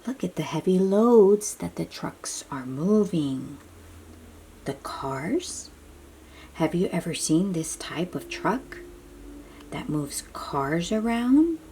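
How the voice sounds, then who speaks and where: Slow, low-voiced woman reading to children. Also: sing-song, mellow, slight background noise. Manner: addresses young listeners with questions and simple explanations. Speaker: children's read-aloud host. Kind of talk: picture-book read-aloud for young children.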